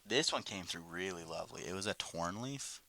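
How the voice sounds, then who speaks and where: A young man in his twenties with a deep, gravelly voice and a bright, pointed edge to it. He co-hosts a casual podcast chat, joking and animated, with faint noise in the background. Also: moderate pace. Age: 20s. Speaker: podcast co-host.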